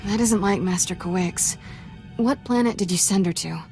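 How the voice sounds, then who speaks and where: A young female Jedi apprentice played by an actress in a game cutscene. She sounds mid-pitched, wry and earnest, with music clearly behind her. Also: normal speed, mellow, natural voice. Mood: businesslike. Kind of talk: dramatic video game acting.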